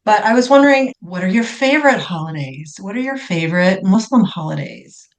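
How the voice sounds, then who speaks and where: A woman's voice: medium pitch, big pitch swings, a little fast. Curious woman in an echoey room. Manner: curious, chatty, asks long rambling questions. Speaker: podcast guest. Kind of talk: conversational interview.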